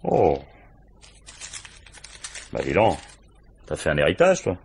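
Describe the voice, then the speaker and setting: An actor playing a gruff, paternal, world-weary police commissioner in a French radio crime drama, muttering colloquially with dry irony. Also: male voice, deep voice, huge pitch swings, slow, a little mellow, a little hiss or hum, exaggerated voice. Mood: ironic, probing. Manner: colloquial, muttering, dryly ironic.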